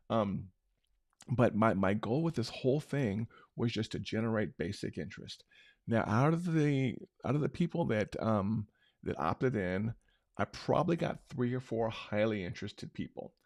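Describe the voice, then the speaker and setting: A middle-aged man hosting a marketing show, talking unscripted in a loose, conversational way with a big booming voice. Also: vocal fry, darkish tone, no room sound, clean studio sound. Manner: unscripted, conversational, many restarts. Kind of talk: casual talk-show recap.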